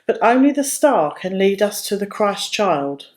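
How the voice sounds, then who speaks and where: A woman's voice: medium pitch, big pitch swings, measured pace. A woman voicing a courteous, earnest young man, a royal traveller in a storybook tale. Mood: grateful, firm. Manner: polite, earnest.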